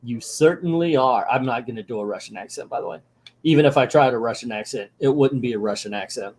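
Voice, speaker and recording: A man's voice: mid-range voice, quick pace, a little mellow, breathy edge. A man reviewing a comic book who reads it aloud and breaks off with wry, sarcastic asides in a casual manner.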